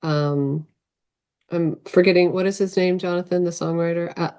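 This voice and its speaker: Casting: woman author in a casual video-call interview. Lower-pitched, thoughtful, halting phrasing. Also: steady tone, a little mellow, smooth. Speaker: novelist being interviewed.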